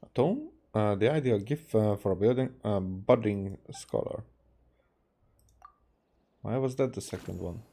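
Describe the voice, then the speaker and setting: A man from Hungary, a native Hungarian speaker, streaming a game with casual, halting commentary. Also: on the low side, animated, dark tone. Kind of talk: casual game commentary, reading dialogue aloud. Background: game music.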